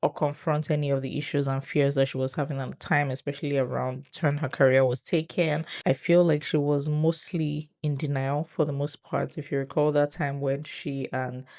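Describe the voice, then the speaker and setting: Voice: mid-range voice, level tone, normal speed, few pauses, darkish tone. A video host giving casual, reflective commentary on a TV drama, talking conversationally with small hedging asides.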